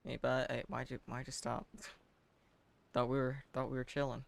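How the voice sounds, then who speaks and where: Creaky-voiced man streaming a game. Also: medium pitch, level tone, unhurried. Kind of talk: casual gameplay commentary. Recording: stream with game music behind.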